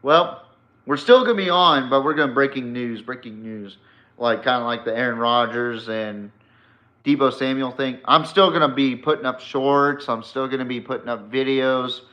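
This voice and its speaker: A man's voice: mid-range voice, normal speed, pushed and bright. A man hosting a sports podcast solo, giving casual, opinionated football commentary in an emphatic, blunt way, swearing freely. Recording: dull, lo-fi sound.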